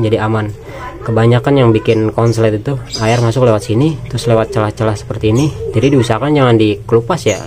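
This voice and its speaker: A man gives a casual, informal product walkthrough, explaining step by step and repeating phrases. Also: lowish voice. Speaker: product reviewer and seller.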